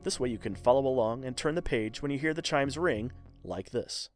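A man's voice: mid-range voice, animated, moderate pace. A man giving scripted narration as a podcast host and storyteller. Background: faint music.

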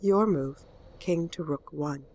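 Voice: medium pitch, slow pace, darkish tone, slight background noise. A performer voicing a chess computer game that announces its moves. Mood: matter-of-fact.

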